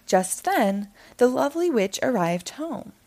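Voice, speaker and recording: A woman reading a children's picture book aloud in an animated storytelling style. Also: on the high side, a little slow.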